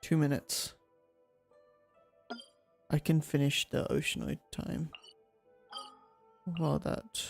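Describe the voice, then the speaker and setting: Guy streamer w kinda high creaky voice, offhand mumbly game chat, bit of music behind. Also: little pitch movement, slow pace, pauses often. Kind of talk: casual live gameplay chat.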